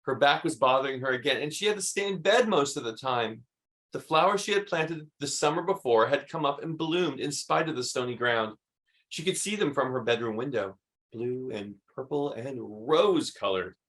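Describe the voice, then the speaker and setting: A man reading a children's story aloud expressively, with emphatic delivery and dramatic pauses. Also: medium pitch, animated, fairly bright tone. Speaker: read-aloud host.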